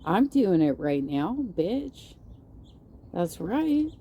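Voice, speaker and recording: Low, dark-voiced woman cursing bluntly. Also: sing-song, extremely slow, a little hiss or hum. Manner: blunt, profane and sarcastic. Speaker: reaction channel commentator. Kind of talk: reaction commentary over stream clips.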